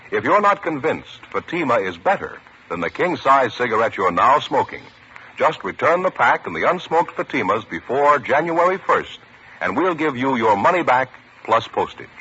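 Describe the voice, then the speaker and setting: A man's voice: medium pitch, sharp tone, slightly rough. American guy doing old radio ad, punchy salesman, swoops around, gritty voice. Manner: emphatic salesman delivery. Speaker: radio commercial announcer. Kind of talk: 1950s radio advertisement read. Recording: muffled vintage radio recording.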